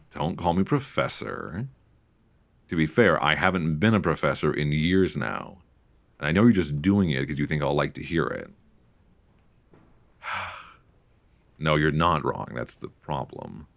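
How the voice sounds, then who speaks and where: A man playing a mild-mannered, flirtatious middle-aged librarian who can turn quietly commanding, in an intimate, conversational ASMR roleplay on a close mic. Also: rumbling low, vocal fry, no room sound, full character voice. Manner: conversational, hesitant, teasing. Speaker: audio roleplay performer. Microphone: close binaural microphone.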